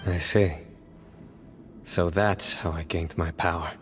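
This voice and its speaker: A terse, low-voiced stern judge. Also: male voice, fairly even intonation, takes it slowly, fairly bright tone, faint music behind. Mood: guarded. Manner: terse, controlled. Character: stern young male judge, reserved and calm. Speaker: General Mahamatra. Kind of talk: video game voice acting.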